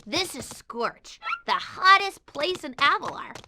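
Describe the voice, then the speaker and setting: Casting: perky young female faun guide for a cartoon video game, very high voice, swooping intonation, expressive storytelling. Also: slow pace, noisy background, exaggerated voice. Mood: concerned explaining. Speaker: narrator of game cutscenes. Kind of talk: animated video game narration. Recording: game music under the voice.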